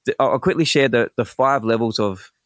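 A man with an Australian accent, a podcast guest explaining ideas in quick, enthusiastic bursts with frequent restarts. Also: mid-range voice, no room sound, studio quality. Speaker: guest, personal branding expert. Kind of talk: casual podcast interview.

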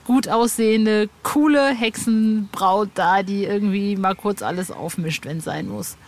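A woman's voice: mid-range voice, unhurried, darkish tone. A woman with a German accent interviewing a guest in a colloquial, chatty, fan-like way. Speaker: podcast interviewer. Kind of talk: casual interview chat. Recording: clearly noisy background.

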